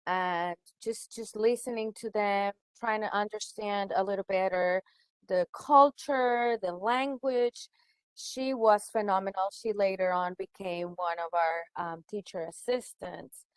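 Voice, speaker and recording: A woman's voice: medium pitch, fairly even intonation, unhurried, clear, resonant tone, dry recording. A woman who is a native Spanish speaker, presenting in a webinar as a school educator and stressing her key words.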